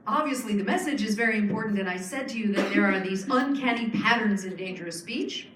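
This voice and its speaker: A woman's voice: mid-range voice, a little fast, far from the mic, noisy background. A woman giving a public lecture, explaining earnestly with frequent fillers and restarts. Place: lecture hall. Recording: echoey hall, speaker off-mic.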